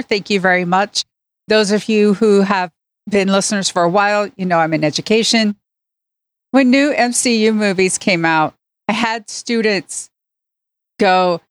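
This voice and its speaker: Woman, medium pitch, very dry recording, casual podcast chat. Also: no echo. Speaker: podcast co-host.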